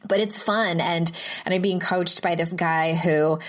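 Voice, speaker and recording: A woman talks solo into a home podcast microphone, her voice sitting a bit low for a woman. She rambles in a friendly, self-deprecating way, laughing at herself as she goes. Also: steady tone, a little slow. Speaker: podcast host. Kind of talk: casual solo podcast monologue.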